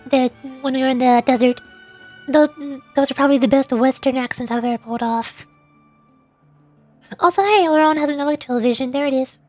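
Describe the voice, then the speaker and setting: A woman's voice: high-pitched, a little fast, faint music behind. A woman giving casual, playful, rambling commentary as she streams a game and does amateur voice acting.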